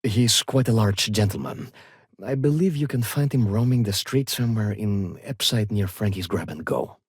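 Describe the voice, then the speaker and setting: An actor playing a fussy, haughty luxury shopkeeper in a sci-fi video game, with a refined, expressive and snippy delivery. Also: male voice, on the low side, normal speed, husky, no echo, studio quality. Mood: polished, courteous. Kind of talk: video game voice acting, short standalone dialogue lines.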